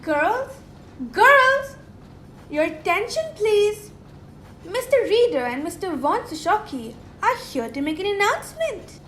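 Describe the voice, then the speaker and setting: A young woman performs a bossy forewoman in a radio play. Her voice is extremely high and swooping, slow and deliberate, in a roomy echo. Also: big pitch swings, a bit bright, a little hiss or hum, exaggerated voice. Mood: commanding. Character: bossy forewoman calling factory girls to attention. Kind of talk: radio drama acting.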